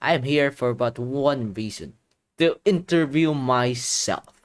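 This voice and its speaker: A man voicing an erratic, self-important male talk show host from a game story, dramatic and halting in delivery. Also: mid-range voice, natural voice. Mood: dramatic, defiant.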